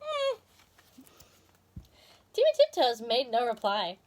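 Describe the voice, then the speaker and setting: A woman's voice: a little high, huge pitch swings, takes it slowly. A woman hosting and reading a children's story expressively, with casual asides to viewers. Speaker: storyteller and video host.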